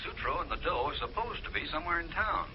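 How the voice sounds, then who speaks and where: An actor playing a friendly, chatty priest passing on tips, in an old-time radio drama. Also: male voice, fairly high pitch, sing-song, quick pace. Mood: friendly, confiding. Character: friendly male priest acting as detective's informant. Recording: dull, noisy radio recording, telephone voice.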